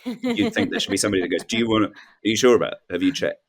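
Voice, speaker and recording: A man's voice: lowish voice, wildly swooping pitch, racing. A man speaking as a comedy panel guest, casual and questioning, hesitantly working through his thoughts and acting out imagined remarks. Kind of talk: casual comic chat.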